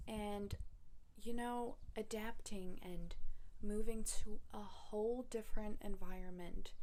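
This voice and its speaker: Young woman vlogger, unhurried, reflective and rambling, faint background noise. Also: medium pitch, vocal fry, mellow. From Russia. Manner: reflective, rambling with many fillers. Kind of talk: casual personal talk to camera.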